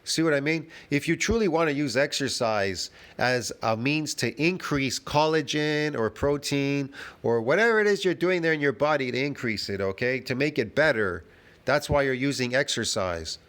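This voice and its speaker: A man's voice: mid-range voice, animated, moderate pace, fairly bright tone. A man giving a ranting fitness commentary, emphatic and repetitive, full of restarts and rhetorical questions.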